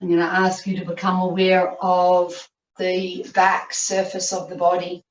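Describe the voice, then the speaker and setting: A woman yoga teacher leading a guided meditation, giving soothing, unhurried instructions with long pauses. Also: on the low side, completely flat delivery. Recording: roomy, distant, muffled video-call audio.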